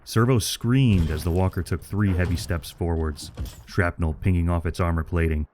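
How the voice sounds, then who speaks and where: Low-voiced male war story narrator. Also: normal speed, a little mellow, slight background noise.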